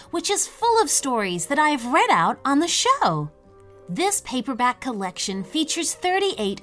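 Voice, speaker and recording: A woman's voice: a little high, fairly bright tone. A woman hosting a children's podcast, reading sponsor and premium announcements with upbeat enthusiasm. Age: adult. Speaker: podcast host. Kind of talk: promotional announcement.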